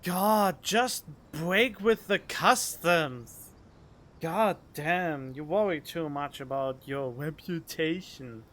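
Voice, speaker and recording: A man's voice: slow, fairly bright tone. A man giving casual, blunt commentary as he streams a video game. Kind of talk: casual let's play commentary. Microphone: studio condenser microphone. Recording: faint noise and low rumble.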